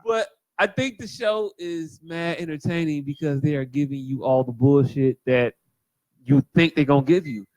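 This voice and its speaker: A man co-hosting a podcast in casual chat, animated and profane, riffing and joking. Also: on the high side, normal speed, no room sound, low-quality recording. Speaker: podcast co-host.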